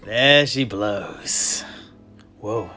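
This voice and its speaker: A man's voice: on the low side, drawn-out words, pushed and bright, soft background music, heavily acted. A man playing a hearty tavern keeper who hosts guests in a fantasy audio play. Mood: jovial. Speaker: host.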